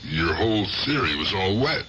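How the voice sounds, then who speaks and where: Aging haunted gangster: bright forceful delivery, rough street grammar, excitable, old radio drama with light hiss. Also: male voice, deep voice, lo-fi sound, heavily acted. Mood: agitated, insistent. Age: older. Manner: streetwise, ungrammatical, halting, excitable talk. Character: aging, tipsy, haunted gangster, gruff but doting uncle. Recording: noisy radio drama recording.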